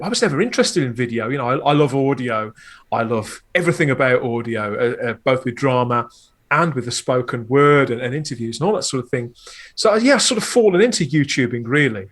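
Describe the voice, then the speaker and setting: A man with a mid-pitched voice talks as a podcast producer in an interview. He is chatty and enthusiastic, laying things out at length in a conversational way. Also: lively intonation, a little fast, fairly bright tone. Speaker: podcast host and producer, guest.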